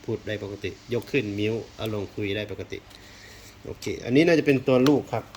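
A man's voice: mid-range voice, brisk, a little mellow. A man giving casual hands-on unboxing commentary, thinking aloud as he explores, with polite phrasing. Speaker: unboxing video host. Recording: clear background noise, rustling and clicking.

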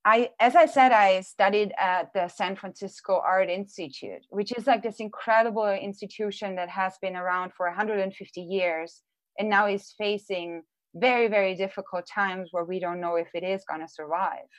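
A woman's voice: mid-range voice, fairly even intonation, normal speed, dry recording. A woman in her forties from Austria, giving a casual live talk about her art over a video call, thoughtful, with frequent ums and restarts. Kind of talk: casual presentation. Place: small room at home. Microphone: earphone headset on a video call.

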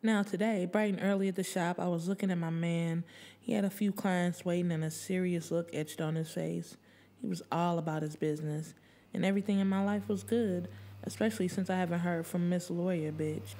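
A woman narrating first-person fiction as an audiobook reader, expressive and full of streetwise slang. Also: fairly low pitch, a little fast. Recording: music bed under the voice. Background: background music.

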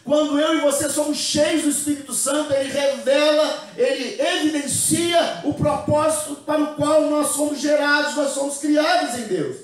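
A man's voice: very high voice, moderate pace, few pauses. A man in his thirties preaching a Pentecost sermon as pastor in a bare church hall, speaking into a handheld microphone and leading the congregation in repeating phrases. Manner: preaching with call-and-response, gesturing with a handheld mic. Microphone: handheld mic. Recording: very echoey hall, from a distance.

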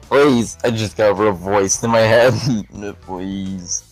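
A man's voice: on the low side, slow, no echo, soft background music, poor audio. A man voicing an unhinged, whiny echidna knight in an improvised comedy fan dub, muttering and pleading nervously in broken phrases. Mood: panicky.

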